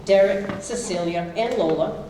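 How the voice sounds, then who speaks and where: A woman's voice: mid-range voice, unhurried, warm tone. A woman reading stage directions as scripted narration. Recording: echoey hall from far off, faint noise.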